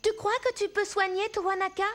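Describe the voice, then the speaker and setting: A woman playing a gentle, earnest young native princess in a French cartoon dub. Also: very high-pitched, little pitch movement, a little fast. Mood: earnest pleading. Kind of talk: French animated film dub. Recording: faint music under the voice.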